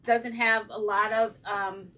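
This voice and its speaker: A woman with a slightly raspy voice hosting a casual crafting livestream, chatting with viewers and saying um often. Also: medium pitch, level tone, takes it slowly, sharp tone, distant mic, a little hiss or hum. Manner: chatty, greets viewers by name, frequent ums. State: slightly raspy from breathing trouble. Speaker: craft channel host.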